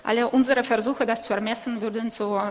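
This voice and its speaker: A woman interpreting a sermon live, phrase by phrase, somewhat halting as she corrects herself. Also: a little high, fairly even intonation. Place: church hall. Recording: echoey hall with long reverb.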